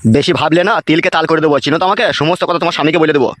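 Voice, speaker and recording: A man's voice: a little high, very fast, fairly bright tone, no room sound. A man voicing a menacing, lecherous male village thug who taunts with sneering laughs. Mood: probing. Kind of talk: amateur cartoon voice acting.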